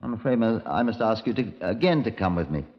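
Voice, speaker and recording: Guy acting a commanding british chief inspector, clipped, lowish voice, old dull radio recording. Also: lively intonation, fast talker, close to an everyday voice. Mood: stern, official. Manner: clipped, authoritative. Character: brisk, commanding Scotland Yard chief inspector. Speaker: detective narrator. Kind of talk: 1950s radio drama acting.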